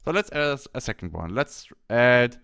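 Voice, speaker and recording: A man narrating a software tutorial, explaining things step by step. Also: medium pitch, very animated, normal speed, vocal fry, smooth. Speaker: tutorial host. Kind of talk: software tutorial narration over screen recording.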